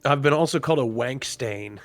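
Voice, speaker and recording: An American man with a gravelly, mid-pitched voice chats as a guest on a livestream. He teases and jokes, playing with words by repeating them, over faint background music. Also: brisk. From United States. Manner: joking, teasing, repeats words for fun. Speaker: guest streamer. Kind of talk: casual chat on a livestream.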